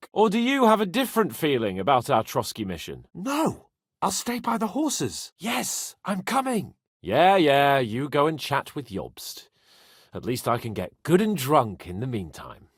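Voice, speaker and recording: A proud, hot-headed young lord in a medieval video game. His fairly high voice swoops dramatically up and down and has a slightly husky, raspy edge. He speaks imperiously and curses freely, like someone used to being obeyed. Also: fairly bright tone, no room sound, clean studio sound, heavily acted. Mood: sulky, resigned. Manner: imperious, sweary, theatrical. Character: haughty, hot-tempered young nobleman, foul-mouthed. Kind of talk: medieval role-playing game dialogue.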